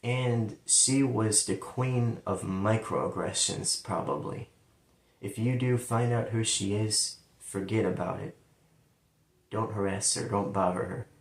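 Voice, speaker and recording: A man with a central Ohio accent, sometimes saying L as a W, telling his life story in a casual, rambling monologue. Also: fairly low pitch. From London, Ohio, USA. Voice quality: sometimes turns L into a W sound. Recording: roomy, distant from the mic.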